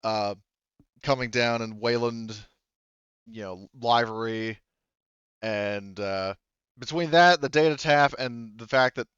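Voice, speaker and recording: A man running a tabletop roleplaying game as game master, talking casually and haltingly as he thinks aloud. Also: mid-range voice, a bit bright, clear, resonant tone, no echo, lo-fi sound.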